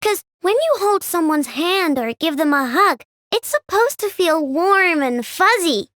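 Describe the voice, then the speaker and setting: A woman voicing an excitable, chatty young female inventor who builds robots. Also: very high voice, a little slow, no room sound, studio quality, exaggerated voice. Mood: bubbly. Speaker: game character voice. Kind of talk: recorded game voice lines.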